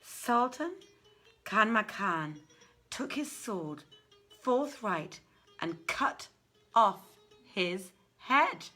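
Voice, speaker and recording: A woman reading an old literary tale aloud in a theatrical, measured and deliberate manner. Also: mid-range voice, sing-song, pushed and bright, faint music behind. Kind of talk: dramatic literary story reading.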